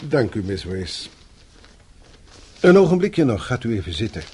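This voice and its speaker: An actor playing a blunt but concerned office boss in a Dutch radio play, formal and probing, with a husky voice. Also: male voice, on the low side, very animated, normal speed, mellow, full character voice. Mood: stern, concerned.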